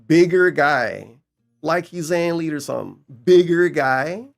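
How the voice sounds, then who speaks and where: A man's voice: a little high, a little slow, vocal fry, faint music behind. A man giving casual, mocking reaction commentary as a streamer, incredulous, sarcastic and profane.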